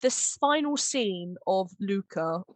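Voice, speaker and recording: A woman chatting casually and informally, with an animated, giggly manner, as she hosts a podcast. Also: mid-range voice, slow, no room sound. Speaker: podcast host, audio drama director. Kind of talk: casual chat, behind-the-scenes discussion.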